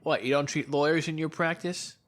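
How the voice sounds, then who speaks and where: A man's voice: on the high side, steady tone, a little fast, a bit bright, lightly acted. A man acting a chipper, needling lawyer in an audio drama, breezy, sarcastic and boastful. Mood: chipper, teasing.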